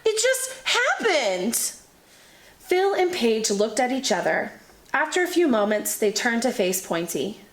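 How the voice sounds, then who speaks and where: A woman teacher reading a children's picture book aloud in an expressive storytelling manner. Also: on the high side, moderate pace. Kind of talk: children's read-aloud narration. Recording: echoey room.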